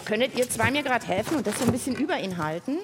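Lively, high-voiced woman hosting for kids. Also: fast talker, heavy background noise. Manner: asks many questions, explains in simple playful words. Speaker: host of a children's science podcast. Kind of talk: interactive live show moderation, casual questions to children. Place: live on stage at a podcast festival with audience.

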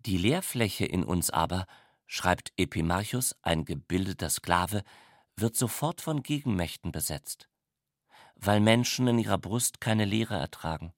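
Low-voiced man reading in a dry studio. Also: lively intonation, a little slow, no echo. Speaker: narrator reading literary prose. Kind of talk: scripted literary narration for radio drama.

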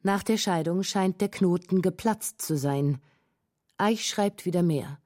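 A woman's voice: fairly low pitch, measured pace, a little mellow, no echo, studio quality. A woman narrating a documentary feature from a script.

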